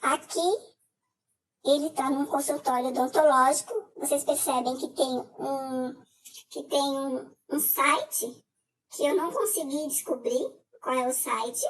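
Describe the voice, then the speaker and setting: A middle-aged Brazilian woman with a Brazilian Portuguese accent gives a personal testimony as a scam victim, talking haltingly with many filler hesitations. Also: high-pitched, fairly even intonation, recorded from a distance, rough recording.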